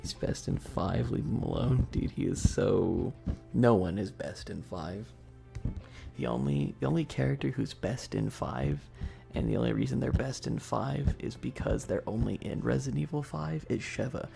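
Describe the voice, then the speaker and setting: Chill stream guy, really gravelly fry, soft dark tone, slangy joking chat, music in the back. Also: medium pitch, normal speed. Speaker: livestream host. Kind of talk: casual livestream chat, ASMR streamer.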